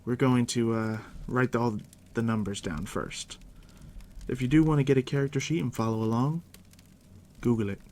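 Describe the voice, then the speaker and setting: A man with a hushed, gravelly voice of medium pitch speaks softly as an ASMR game master. He addresses the listener directly in an unhurried, conversational way, with plenty of creak in his tone. A faint wash of crackling fire noise sits behind him. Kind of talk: soft-spoken ASMR roleplay, explaining tabletop game rules.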